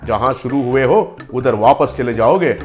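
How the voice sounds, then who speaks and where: A man's voice: medium pitch, normal speed, faint music behind, pitched below the performer's own voice, close to an everyday voice. A man voicing a kindly, solemn holy man in a cartoon story, instructing and admonishing.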